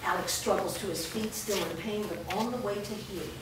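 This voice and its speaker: A woman's voice: medium pitch, brisk. A woman narrator reading scripted stage directions at a staged reading. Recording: echoey room, distant mic, clear background noise.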